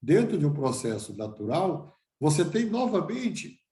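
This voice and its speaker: A man's voice: on the high side, sing-song, measured pace, mellow, roomy sound. An older man giving a reflective lecture to a class, asking himself questions and restarting phrases.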